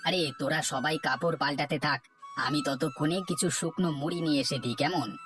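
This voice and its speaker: A man's voice: a little high, normal speed, close to an everyday voice. A man with a Bangladeshi accent playing a hospitable, reassuring bachelor friend in a cartoon, insistent and familiar in manner. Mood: welcoming. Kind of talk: Bengali cartoon story dialogue. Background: background music.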